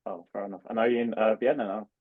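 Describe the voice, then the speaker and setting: A man's voice: fairly low pitch, fairly even intonation, fast talker. A man asking questions as a coaching client in a friendly, chatty way, over dull call audio.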